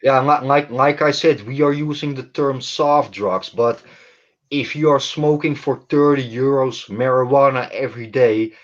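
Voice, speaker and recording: A man with an average-pitched voice chats as a guest. He speaks slowly and haltingly, restarting often and filling gaps with "like". The sound is dull and lo-fi. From Netherlands. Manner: halting, many restarts and "like", slow and deliberate. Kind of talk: casual chat. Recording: dull lo-fi livestream audio.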